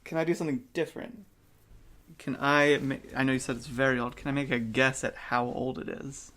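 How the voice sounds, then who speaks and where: A man's voice: medium pitch, steady tone, fairly quick, bright, forceful tone. A man chatting casually as a player in a tabletop role-playing game.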